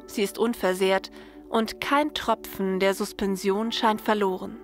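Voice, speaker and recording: A woman's voice: medium pitch, steady tone, a little slow. A woman reading scripted narration for a science-fiction audio story. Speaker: narrator and writer. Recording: music under the voice. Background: music.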